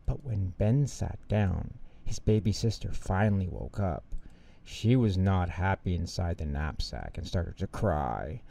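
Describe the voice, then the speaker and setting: Low, gravelly man reading a bedtime story. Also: animated, a little slow, mellow, slight background noise.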